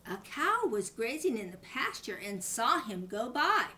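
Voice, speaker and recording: An older woman, a grandmother, reading a children's story aloud in an animated style. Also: a little high, unhurried.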